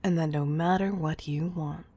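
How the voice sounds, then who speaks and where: A woman playing a stern, commanding chief executive in a scripted audio roleplay, exasperated and deliberate in her emphasis. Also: sits low, steady tone, warm tone, husky. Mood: firm, understanding. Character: stern, commanding female CEO scolding an employee.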